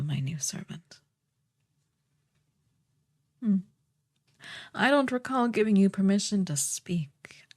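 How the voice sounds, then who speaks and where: A woman playing a haughty, menacing sorceress queen in an ASMR fantasy roleplay, speaking softly and condescendingly, often close to a whisper. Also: low-pitched, exaggerated voice. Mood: haughty, cold. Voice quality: soft, breathy, partly whispered. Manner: slow, condescending, commanding.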